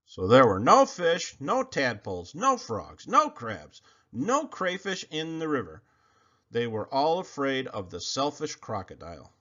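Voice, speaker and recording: A man reading a children's picture book aloud in an expressive, animated storytelling manner. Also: mid-range voice, measured pace. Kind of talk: children's read-aloud narration. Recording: slightly muffled recording.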